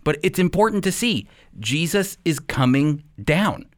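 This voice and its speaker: A man hosting a radio program as a Bible teacher, speaking with deliberate, emphatic phrasing. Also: a little high, lively intonation.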